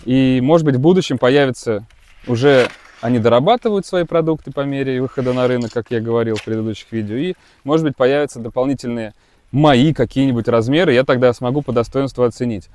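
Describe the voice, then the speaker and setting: A man's voice: medium pitch, quick pace, a little mellow. A man who runs a kite school, giving a casual, rambling gear review with many hesitations and restarts.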